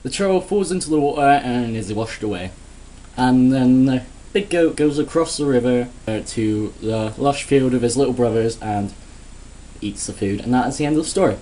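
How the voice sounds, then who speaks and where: A man's voice: medium pitch, animated, normal speed, dark tone, low-quality recording. Sarcastic, crude guy ranting. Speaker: vlogger. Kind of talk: casual comedic rant.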